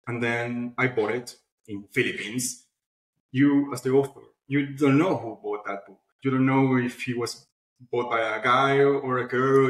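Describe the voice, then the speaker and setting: A man's voice: mid-range voice, a bit bright. Echoey male interview guest. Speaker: interview guest, publishing platform founder. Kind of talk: conversational interview answers. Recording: echoey room sound.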